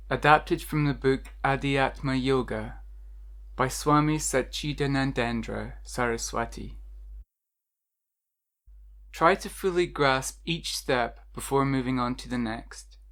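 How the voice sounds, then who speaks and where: Very slow, measured meditation guide. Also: mid-range voice, a bit bright. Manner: slow, measured, instructional delivery with deliberate pauses. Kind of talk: scripted guided meditation narration.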